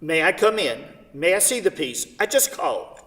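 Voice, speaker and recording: Man playing an eager, pleading gentleman, heard distantly. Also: fairly high pitch, big pitch swings, normal speed. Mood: insistent. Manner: formal, insistent, repeating pleas. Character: eager, pleading gentleman buyer desperate to see an artwork. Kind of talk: staged play reading. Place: theatre stage. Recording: reverberant theatre hall, distant mic.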